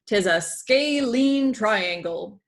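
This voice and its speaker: A woman's voice: medium pitch, animated, very slow. A woman voicing a hearty old male walrus captain who teaches in pirate phrasing, from a children's comic book. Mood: hearty, instructive.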